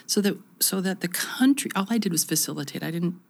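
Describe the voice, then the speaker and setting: A woman's voice: lowish voice, fairly quick. A woman in her fifties from the United States, talking reflectively as an interview guest, with many restarts. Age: 50s. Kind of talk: conversational interview.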